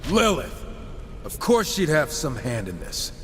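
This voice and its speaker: Cocky, animated gunslinger, in reverb. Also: male voice, on the high side, unhurried, slight background noise. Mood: sardonic, irritated. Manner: sardonic, irreverent. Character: wisecracking, cocky male horseman gunslinger. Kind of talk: casual-toned video game acting. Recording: echoing hall reverb, music behind.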